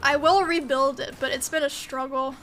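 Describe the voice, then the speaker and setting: A young woman streamer with a high, bright voice chats casually, giggling and dropping "like" as she answers chat. Mandarin was her first language. A faint hum of background noise sits under her voice. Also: steady tone, moderate pace. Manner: chatty, giggly, many "like" fillers, reads chat aloud.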